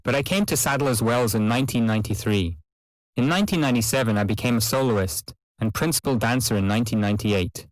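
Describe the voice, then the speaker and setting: A self-assured principal dancer from Italy, played by a man lists his achievements plainly. The voice has an average male pitch and a dry, close sound, made for a language test. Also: no echo, close to an everyday voice, rough recording. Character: confident Italian male principal ballet dancer. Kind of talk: acted monologue for an English listening exam.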